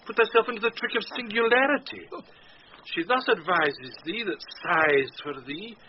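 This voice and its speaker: A man's voice: high-pitched, natural voice. An actor playing a pompous, vain and gullible household steward in a theatrical Shakespearean radio drama, savouring and drawing out his words. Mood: reading aloud, awed. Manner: pompous, savouring and drawn-out delivery. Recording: muffled, noisy old radio broadcast.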